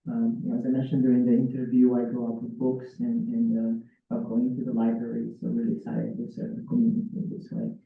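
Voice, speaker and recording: A man's voice: mid-range voice, completely flat delivery, quick pace, creaky. A man introducing himself politely as a board candidate. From Romania. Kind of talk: brief self-introduction. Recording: echoey chamber, distant tinny mic.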